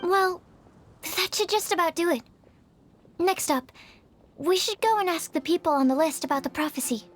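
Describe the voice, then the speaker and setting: A woman's voice: very high-pitched, normal speed, long pauses. A woman voicing a shy, earnest, bookish young alchemist in an anime fantasy game, polite and hesitant.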